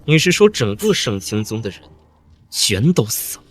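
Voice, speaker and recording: Man as a haughty villain, wildly swinging pitch. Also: sing-song, normal speed, breathy edge. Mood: cold, scornful. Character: haughty, cold male villain cultivator of a sinister sect. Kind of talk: animated xianxia drama dubbing.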